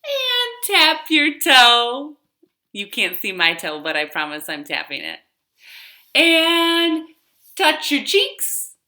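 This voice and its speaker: A woman's voice: sits high, huge pitch swings, slow pace, sharp tone. A woman hosting a children's storytime in a sing-song style, drawing out repeated words and giving simple, encouraging instructions, recorded in a living room. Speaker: children's storytime host, librarian. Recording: roomy, echoing home living room.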